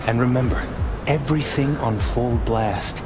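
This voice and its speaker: An actor playing a curt heist planner who gives clipped orders. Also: male voice, mid-range voice, normal speed, lightly acted. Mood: briefing. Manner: clipped, commanding instructions. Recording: loud background noise.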